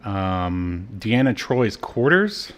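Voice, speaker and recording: A man co-hosting a podcast, casually recapping a TV episode in a halting, informal way. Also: fairly low pitch, big pitch swings. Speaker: podcast co-host.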